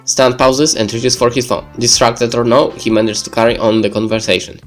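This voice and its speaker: A man's voice: medium pitch, little pitch movement, fairly quick, soft background music. A man reading visual novel narration aloud as a let's player. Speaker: video game let's player.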